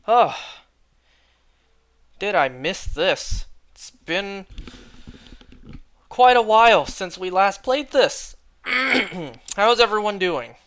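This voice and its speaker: A young man streaming a game, chatting casually and rambling, clearing his throat often as he is losing his voice. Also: high voice, animated, very slow, long pauses, fairly bright tone.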